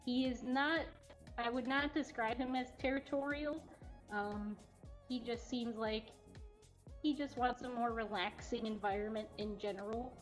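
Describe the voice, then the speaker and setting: A woman's voice: fairly high pitch, steady tone, measured pace. A woman hosting a casual, unscripted livestream in a cat cafe, talking in a chatty, giggly way. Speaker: cat cafe host presenting adoptable cats. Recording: dull lo-fi livestream audio with music. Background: faint music.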